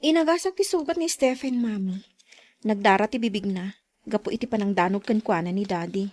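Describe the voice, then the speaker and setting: A woman's voice: mid-range voice, a little fast, a little mellow, lightly acted. A woman playing an affectionate, caring young adult daughter in an audio drama. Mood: concerned.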